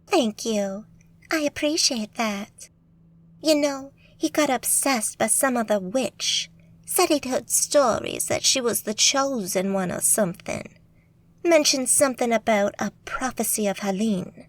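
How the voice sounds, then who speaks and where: A woman's voice: fairly high pitch, a little slow, natural voice, poor audio. A woman putting on a coy Southern witch, Angel. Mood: coy flirtation. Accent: put-on Southern US drawl. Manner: coy, sugary, fake-sweet.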